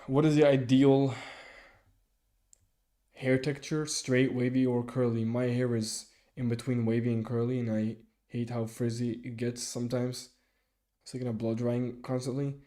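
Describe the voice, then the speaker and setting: A young man streaming live and answering viewer comments in a halting, casual way, with many restarts and fillers. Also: mid-range voice, little pitch movement, mellow. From Sweden.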